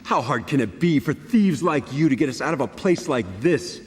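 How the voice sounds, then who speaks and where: A man's voice: on the high side, expressive, normal speed, close to an everyday voice. A man playing a haughty, impatient holy man in a video game, with a pompous, scornful manner. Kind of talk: dramatic video game voice acting. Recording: reverberant hall sound, faint noise.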